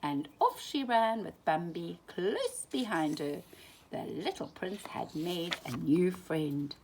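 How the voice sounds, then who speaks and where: A woman reading a children's story aloud in an animated, dramatic way, often dropping into hushed tones. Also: fairly low pitch, takes it slowly, a little hiss or hum, rough recording. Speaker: storybook reader.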